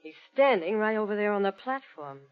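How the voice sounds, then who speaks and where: Muffled, lo-fi vintage radio sound frames a glamorous young woman in trouble played by an actress. Her medium-pitched voice is nervous and breathless, with a guarded, evasive, slightly haughty manner. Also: lively intonation, fairly quick, heavy background noise, natural voice. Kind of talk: old-time radio mystery acting. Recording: muffled lo-fi old radio recording.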